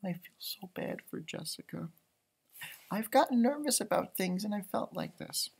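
A man's voice: high voice, normal speed, gravelly, husky. An American man in his thirties reading a children's storybook aloud expressively, with chatty asides. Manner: animated, commenting on pictures. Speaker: storybook reader for schoolchildren. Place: indoors, small library room.

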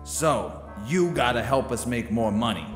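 A commanding, short-tempered crook played by a man in an audio drama. He talks gruffly and impatiently at a middle pitch, and his voice rings in an echoey, reverberant space. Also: expressive, measured pace, faint music behind, close to an everyday voice. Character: bossy, short-tempered New York crook and heist schemer. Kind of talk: audio theater acting.

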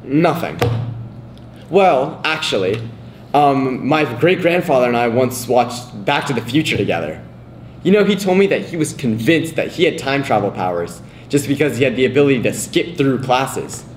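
A man's voice: medium pitch, big pitch swings, normal speed, lightly acted. Sceptical, baffled student, played by a young man, amateur skit, roomy echo and faint noise. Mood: dry, sceptical. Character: confused, sceptical male student cramming for a history test. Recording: echoey room, faint background noise.

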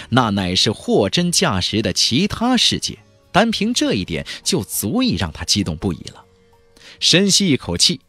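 A male audiobook narrator with a lowish, husky voice. He tells a fantasy tale theatrically, with huge swings of intonation and emphatic swells. Soft music plays behind his close delivery. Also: dry recording. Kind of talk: dramatic scripted narration of a fantasy novel. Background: faint background music.

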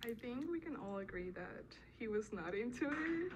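A woman's voice: on the high side, animated, moderate pace, distant mic, heavy background noise. A young woman from Venezuela with a Venezuelan accent, giving brief, easygoing replies as a dating show contestant in a studio. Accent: Venezuelan Spanish. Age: young adult. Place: studio with dark backdrop. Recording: studio clip with music underneath.

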